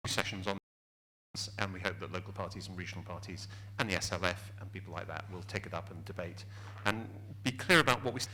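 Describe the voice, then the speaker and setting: Man, low, bright voice, measured explanation, committee official. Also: slight background noise, rough recording. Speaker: policy committee vice chair. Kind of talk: comment from the floor.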